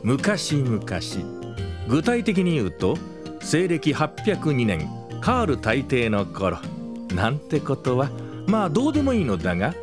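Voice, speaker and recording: Animated, playful storybook narrator, a man. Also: mid-range voice, measured pace, over music. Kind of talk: scripted comic fairy-tale narration.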